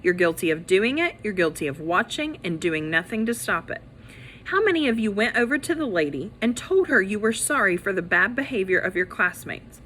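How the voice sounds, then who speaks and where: A woman's voice: mid-range voice, sing-song, a little fast, sharp tone, slight background noise. Stern, scolding schoolteacher played by a woman. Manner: scolding, clipped. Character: stern, sarcastic female third-grade teacher.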